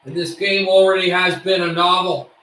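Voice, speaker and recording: Man, high bright voice, excitable hobby play-by-play, voice fraying, roomy off-mic sound. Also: normal speed, distant mic. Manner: excitable, bursts into shouts on shots. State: losing his voice. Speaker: play-by-play streamer. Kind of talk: amateur play-by-play commentary.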